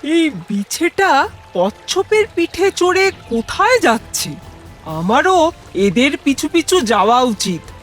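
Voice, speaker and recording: A woman's voice: sits high, sing-song, takes it slowly, faint music behind, full character voice. A woman voicing a curious, well-meaning elderly man in a children's fable, musing aloud emphatically. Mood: puzzled, curious.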